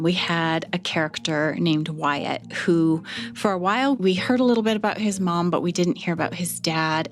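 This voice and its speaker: Low-voiced woman explaining over music. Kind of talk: interview storytelling.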